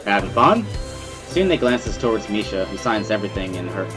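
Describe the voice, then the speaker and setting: A man reading first-person visual novel narration in a dramatic, expressive storytelling manner. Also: on the low side, brisk, music in the background.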